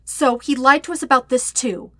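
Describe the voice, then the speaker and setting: A scornful young woman in an audiobook tale, as a woman reader acts it. The delivery is sarcastic and curt, in a bright, forceful voice with a very dry sound. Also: sits high, fairly even intonation, moderate pace, no room sound, voice pushed up. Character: stubborn, scornful young woman, sardonic and grudging.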